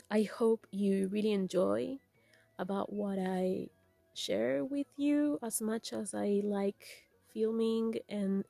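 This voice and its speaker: A woman with a Spanish accent and a mellow, warm voice talks through a craft tutorial gently and haltingly. She pauses often on small fillers. The sound is dry and close, with soft music under it. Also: medium pitch, creaky, no echo. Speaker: weaving tutorial host. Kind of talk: casual personal talk and craft tutorial.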